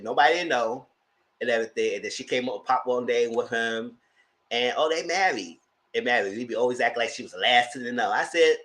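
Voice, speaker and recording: Chatty high-voiced man reviewing live. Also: fairly bright tone. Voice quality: high-pitched, light voice. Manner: chatty, laughs often, greets viewers. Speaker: host reviewing a documentary live. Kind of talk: casual live-stream commentary.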